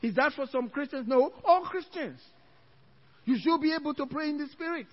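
A man's voice: very high-pitched, normal speed. A middle-aged Nigerian pastor with a Nigerian accent, preaching an evening sermon with emphatic scripture quotes and chuckling asides to his congregation. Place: church sanctuary. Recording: dull lo-fi church recording.

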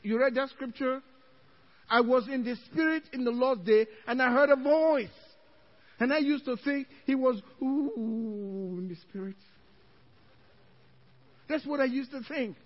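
A Nigerian-accented male pastor with a notably high voice preaches to his church, quoting scripture emphatically and breaking into chuckling asides. The recording is dull and lo-fi. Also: unhurried, long pauses. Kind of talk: evening church sermon. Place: church sanctuary.

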